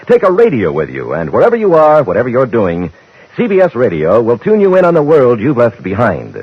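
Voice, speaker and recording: An American man delivers polished, emphatic radio announcements with a lively swing in pitch. His voice is rough and husky, heard on a muffled 1950s broadcast recording. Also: brisk, no echo. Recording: dull old radio recording, faint music.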